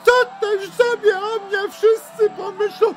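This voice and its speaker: A man voicing an indignant, self-pitying village stepmother in a shrill falsetto for a folktale. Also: little pitch movement, unhurried, soft background music.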